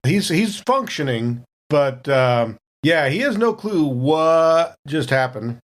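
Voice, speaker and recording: A man's voice: a little high, expressive, takes it slowly. A man playing a tabletop roleplaying game, casually describing what his character thinks and feels.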